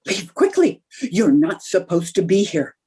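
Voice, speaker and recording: A woman's voice: lowish voice, big pitch swings, unhurried, noisy background. A woman playing a scatterbrained young housewife, sly and theatrically innocent, in old-time radio comedy style. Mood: melodramatic.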